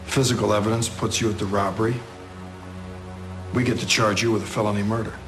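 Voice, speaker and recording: A man plays a police detective with a low voice, dry and methodical. He speaks formally and matter-of-factly, as in a procedural radio drama, with music underneath. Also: steady tone, lightly acted. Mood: measured, probing. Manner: measured, formal, matter-of-fact.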